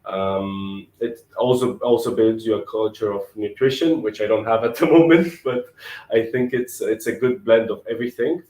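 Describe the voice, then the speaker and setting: A man in his twenties from Romania, answering interview questions in a relaxed, thoughtful way and often repeating words as he thinks. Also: on the low side, moderate pace, reverberant, poor audio. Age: 20s.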